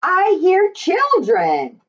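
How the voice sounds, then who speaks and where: A woman reading a picture book aloud to young children in an expressive, sing-song way. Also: very high-pitched, very slow. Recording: slightly dull, lo-fi recording.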